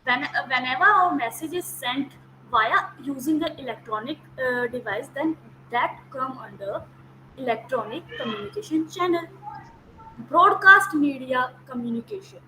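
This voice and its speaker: A woman's voice: high voice, moderate pace, sharp tone, a little hiss or hum, rough recording. A woman, a Hindi native speaker, teaching an online class, explaining step by step, repeating key terms and checking whether students follow. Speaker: academic counsellor. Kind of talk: online lecture. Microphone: video call.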